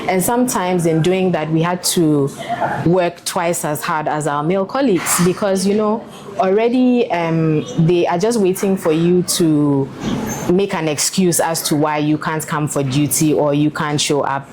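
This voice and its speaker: A woman's voice: lowish voice, unhurried. A woman air traffic controller interviewed about her career, speaking earnestly and reflectively with frequent fillers.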